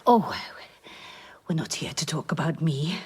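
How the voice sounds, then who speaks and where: A woman's voice: low voice, expressive, measured pace, darkish tone, breathy edge, heavily acted. An actress playing an effusive, sentimental older woman with a Welsh accent in a radio drama, lingering on her words. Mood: sentimental, coy.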